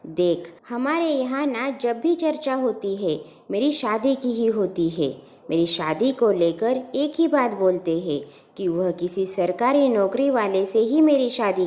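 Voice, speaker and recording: A woman's voice: mid-range voice, animated, measured pace, warm tone, close to an everyday voice. A woman voicing a practical, teasing young woman in love in an audio story, informal and protesting. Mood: worried, frank. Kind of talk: acted storytelling dialogue.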